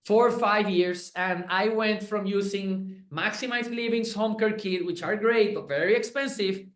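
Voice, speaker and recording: Brief: effusive Puerto Rican-accented man, high voice, chatty testimonial with many restarts, echoey room. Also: normal speed. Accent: Puerto Rican Spanish. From Puerto Rico. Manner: effusive, repeats words and restarts. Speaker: chiropractor and clinic owner. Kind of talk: enthusiastic testimonial chat.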